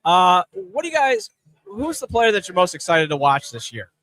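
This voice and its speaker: A man with a noticeably high voice hosts a sports talk show. His delivery is casual and conversational, like chatting with fellow panelists. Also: big pitch swings, normal speed, a bit bright, poor audio. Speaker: podcast host.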